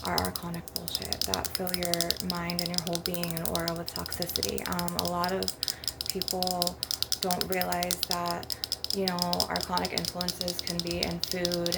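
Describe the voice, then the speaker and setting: A woman with a slightly low voice and plenty of fry talks in a loose, meandering ASMR monologue. Her intonation stays quite flat and her phrasing is informal, like an unscripted healer addressing listeners. Loud clicks of crystal and glass tapping run alongside her. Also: heavy background noise. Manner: informal, meandering, frequent "like" and "you know". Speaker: ASMR host and spiritual healer. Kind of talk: rambling casual ASMR monologue.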